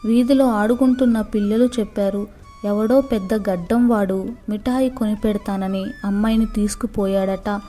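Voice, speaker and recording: A woman's voice: medium pitch, steady tone, moderate pace, mellow, soft background music, natural voice. A woman storyteller voicing an emotional, worried village mother in a children's story. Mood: distressed. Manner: emotional, lamenting.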